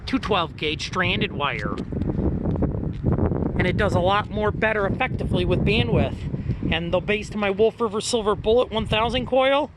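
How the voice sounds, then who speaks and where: A man's voice: high voice, moderate pace, background noise. A man with an American accent casually presenting a hobby vlog, walking viewers through equipment. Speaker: amateur radio hobbyist presenter.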